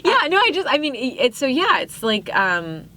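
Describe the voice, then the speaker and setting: A woman's voice: high-pitched, wildly swooping pitch, normal speed, sharp tone. A young woman born in Pakistan, a guest on a podcast, talking casually and reflectively with many fillers and frequent laughs. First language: Urdu. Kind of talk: casual interview chat.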